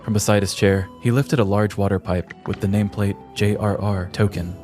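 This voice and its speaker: A man narrating a scripted comedic fantasy story in a theatrical, measured, mock-epic style. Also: on the low side, steady tone, mellow. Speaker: podcast host and storyteller. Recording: prominent music behind voice.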